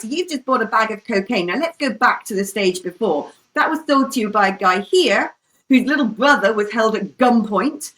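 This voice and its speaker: High-voiced woman, emphatic panelist. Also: a bit bright, poor audio. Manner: emphatic, opinionated, repeats phrases for force. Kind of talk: impassioned political debate talk.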